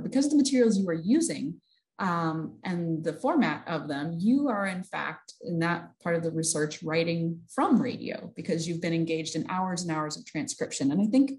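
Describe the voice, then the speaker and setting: A woman's voice: lowish voice, a little mellow. A woman in her thirties hosting an academic question-and-answer session over a video call. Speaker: host, moderator. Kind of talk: moderating an academic Q&A. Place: small indoor office, video call. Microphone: computer mic on video call.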